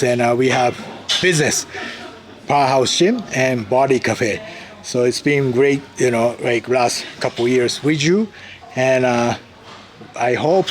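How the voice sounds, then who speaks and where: A man from Japan with a Japanese accent talks informally as a trainer, haltingly repeating phrases as he explains things. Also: medium pitch. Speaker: fitness trainer and gym co-owner. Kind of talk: informal exercise demonstration and chat. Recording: busy crowd noise behind.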